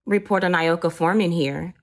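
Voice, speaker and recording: A woman reporter reading an event promo in a measured announcing way. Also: lowish voice. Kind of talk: read promo.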